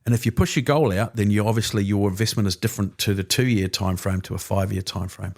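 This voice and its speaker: A man in his fifties with a New Zealand accent, a guest explaining finances in relaxed, chatty talk. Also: on the low side, quick pace, vocal fry. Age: 50s. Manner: relaxed, chatty, frequent filler agreements. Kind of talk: casual interview chat.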